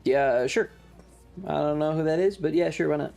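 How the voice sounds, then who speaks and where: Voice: medium pitch, moderate pace, gravelly, warm tone, faint music behind. A streamer giving casual live commentary on a video game in an irreverent, sweary, rambling way.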